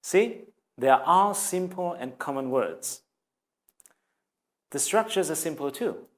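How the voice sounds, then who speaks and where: A man's voice: fairly high pitch, expressive, slow pace. A man with a Vietnamese accent, a native Vietnamese speaker, giving an earnest, encouraging English lesson straight to the viewer. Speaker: language teacher. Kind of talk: scripted video lesson.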